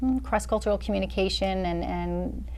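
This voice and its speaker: A middle-aged woman from Idaho, an associate director, answering interview questions in a measured way with many fillers. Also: medium pitch, a little mellow. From Boise, Idaho. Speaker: associate director of student services. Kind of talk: campus interview.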